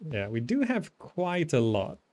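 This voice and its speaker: A man's voice: fairly high pitch, huge pitch swings, measured pace, darkish tone. A man giving casual live commentary as he streams a strategy game, thinking aloud as he plays. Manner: thinking aloud while playing.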